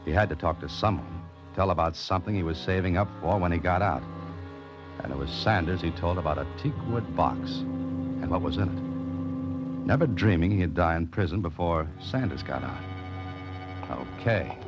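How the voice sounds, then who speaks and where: Actor as low, hard-boiled wry radio sleuth. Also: male voice, normal speed, close to an everyday voice. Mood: wry narrating. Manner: sardonic, hard-boiled, clipped. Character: wry, unflappable, wisecracking male amateur sleuth. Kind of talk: 1940s radio drama acting, first-person narration. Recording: old radio broadcast with music.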